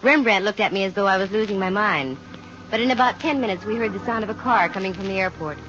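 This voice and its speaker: An actress with an American accent playing a wry, self-assured private investigator in a 1950 radio drama, brisk and dry. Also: female voice, mid-range voice, close to an everyday voice. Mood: brisk, confident. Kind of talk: 1950 radio detective drama acting, with first-person narration. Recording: muffled vintage 1950 radio recording with music.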